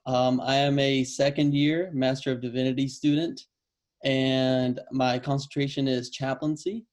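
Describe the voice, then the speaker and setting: A man from Cuba, a divinity student, introducing himself on an online panel in a relaxed way with fillers. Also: mid-range voice, unhurried.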